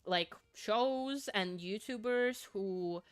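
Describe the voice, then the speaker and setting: A woman's voice: medium pitch, extremely slow, smooth, no echo. A woman from Finland, a native Finnish speaker, chatting casually with viewers on a game livestream, giggling and repeating words as she goes. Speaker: streamer.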